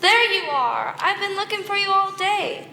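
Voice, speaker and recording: A woman's voice: very high voice, animated, moderate pace, gravelly, bright, forceful tone, a little hiss or hum, pitched above the performer's own voice, exaggerated voice. A woman voicing a no-nonsense mother scolding her son. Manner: bossy, scolding. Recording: echoey hall, live stage.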